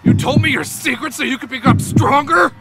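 Man voicing a gravelly, hot-tempered teen delinquent. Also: very high-pitched, brisk, raised voice, over music. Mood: furious, anguished. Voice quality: rough, gravelly. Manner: intense, brooding, explosive. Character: hot-tempered, tormented teenage delinquent tough guy. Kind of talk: fan manga dub acting.

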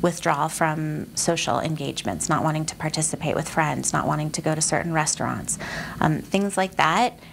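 A woman's voice: low voice, vocal fry, slight background noise. A woman doctor explaining warning signs in an interview. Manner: explanatory, measured. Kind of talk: expert interview.

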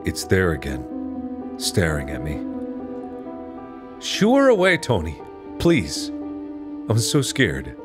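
A man's voice: deep voice, huge pitch swings, slow pace, pauses often, over music, heavily acted. A man voicing a scared, pleading little girl in a horror game, with a small delivery. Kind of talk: horror visual novel character reading.

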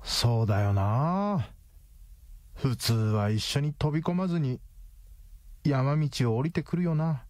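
A man playing a tough, defiant detective hero in a radio drama, speaking in a rough, taunting, deliberate way. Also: medium pitch, lively intonation, a little mellow, no room sound, studio quality. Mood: smug, analytical.

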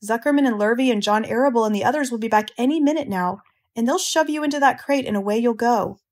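A woman voicing a frail female spider from a storybook, composed, matter-of-fact and gently firm. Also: on the high side, fast talker. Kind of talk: storybook character reading.

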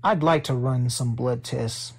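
Careful middle-aged scientist, quiet and measured, mid-pitched, voiced by a male narrator. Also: creaky, slightly rough. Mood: amazed. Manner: measured, soft-spoken.

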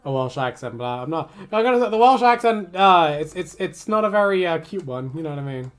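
A woman's voice: low-pitched, lively intonation, a little fast. A young woman chatting on a stream in a laid-back, grumbling way, swearing now and then. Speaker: streamer and artist. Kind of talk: casual stream chat.

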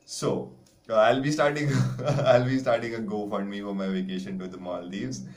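Deep-voiced man teaching casually. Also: steady tone, moderate pace. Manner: rambling, chatty, self-mocking. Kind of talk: casual online lesson. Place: small room indoors.

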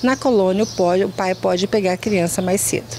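A woman's voice: medium pitch, brisk, a little mellow. A middle-aged Brazilian woman, an official giving explanatory answers in an outdoor interview. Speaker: education department director. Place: outdoors under a covered yard. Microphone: reporter's handheld microphone. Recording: faint outdoor background noise.

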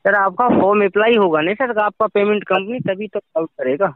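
Insistent high-voiced man on a phone line. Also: brisk. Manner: insistent, repetitive. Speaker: phone agent for a coin-buying company. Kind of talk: sales phone call. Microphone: telephone. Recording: tinny, narrowband phone line recording.

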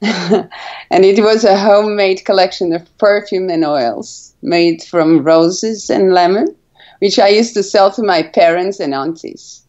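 A woman's voice: mid-range voice, unhurried, darkish tone, muffled recording. A woman in her forties from Portugal, a guest telling her story in a casual interview, hesitant with many fillers and restarts. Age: 40s. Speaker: guest, brand founder.

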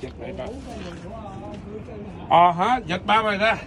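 A man's voice: high voice, lively intonation, a little slow, a crowd in the background. A man, chatting casually and counting off fish as he shares out a catch on a boat. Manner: counting off out loud, teasing. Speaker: fisherman dividing the catch. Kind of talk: casual chat. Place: outdoors on a fishing boat deck. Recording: loud outdoor noise.

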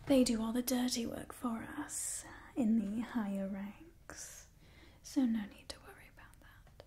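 A young woman playing an imperious, doting vampire clan leader in an ASMR roleplay, in a soft breathy whisper close to the microphone. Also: a little high, slight background noise, close to an everyday voice. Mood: haughty, instructing. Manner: slow, deliberate, condescendingly affectionate. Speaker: ASMR performer. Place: small indoor set with wooden wall.

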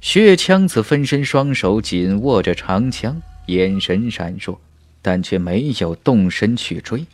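A man's voice: on the low side, lively intonation, unhurried, dry recording. A man reading a fantasy audiobook as a scripted, dramatic narration with emphatic storytelling. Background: background music.

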